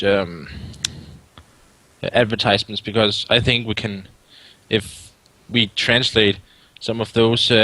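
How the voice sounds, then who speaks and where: A man's voice: fairly low pitch, bright, forceful tone, dry recording, poor audio. A man from Denmark with a Danish accent, asking questions hesitantly and searching for words.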